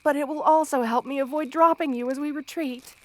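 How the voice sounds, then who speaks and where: A woman playing a gentle, motherly, centuries-old spider queen in an ASMR roleplay, speaking in formal, measured, soothing phrases. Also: high voice, fairly even intonation, no echo, natural voice. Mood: reassuring. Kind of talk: ASMR roleplay, intimate scripted monologue to listener.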